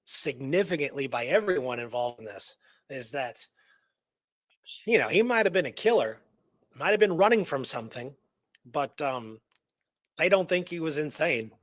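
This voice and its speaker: A man's voice: mid-range voice, a bit bright, no room sound. A man co-hosting a radio show, telling a story in a casual, digressive way and often checking in with the listener. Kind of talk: casual conversational storytelling and discussion.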